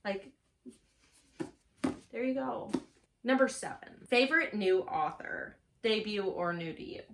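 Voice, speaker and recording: A woman's voice: medium pitch, animated, a little slow, vocal fry, a bit bright. A young adult woman vlogging in a casual, gushing chat with offbeat dry asides. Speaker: book vlogger. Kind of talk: casual book chat to camera.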